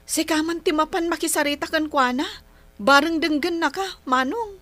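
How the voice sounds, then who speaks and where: A woman's voice: sits high, moderate pace, fairly bright tone. A woman playing a concerned, exasperated grown daughter in a radio drama, complaining earnestly with a Filipino accent. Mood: concerned, pleading. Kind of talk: Ilocano radio drama acting.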